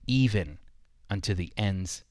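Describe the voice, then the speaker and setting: A man's voice: lowish voice, takes it slowly, darkish tone, no room sound, clean studio sound. A man preaching as a Bible teacher, speaking straight to the listener with emphatic repetition and rhetorical questions. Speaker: Bible teacher hosting a radio program. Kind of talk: evangelical sermon, teaching directly to the listener.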